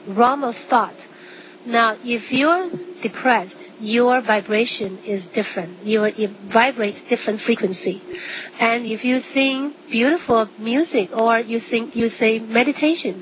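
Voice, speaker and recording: Lady doctor explaining stuff with simple examples, higher voice, kinda muffled with faint hiss. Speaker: medical doctor and acupuncturist. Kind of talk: explaining in an interview.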